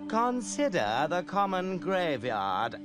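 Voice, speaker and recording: An actor playing a grandiose, scheming bishop villain in a video game, with pompous, theatrical delivery and ornate speech. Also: male voice, sits high, huge pitch swings, takes it slowly, heavily acted. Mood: sly, menacing. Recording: game dialogue over clear background music.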